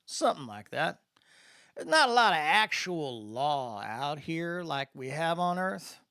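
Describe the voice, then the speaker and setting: A man's voice: a little high, sing-song, a little slow, pushed and bright, clear, resonant tone, no echo, studio quality, full character voice. A man voicing an easygoing good old boy from Mississippi, a spacefaring mentor with a folksy Southern drawl, in a science fiction audiobook. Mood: folksy, explaining.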